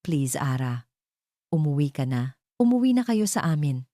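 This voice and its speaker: A woman's voice: low-pitched, very animated, moderate pace, dark tone, no room sound, studio quality. Woman voicing an earnest, lovestruck male CEO. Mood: pleading.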